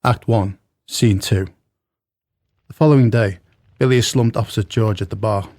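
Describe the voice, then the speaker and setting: A man's voice: lowish voice, normal speed, a little mellow, natural voice. A man narrating an audio play, reading scene directions in a detached, scripted manner. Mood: neutral.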